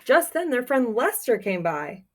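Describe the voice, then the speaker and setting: A woman's voice: fairly high pitch, sing-song, unhurried. A woman doing a children's read-aloud like a teacher, reading expressively in a sing-song way and putting simple, encouraging questions to her young listeners.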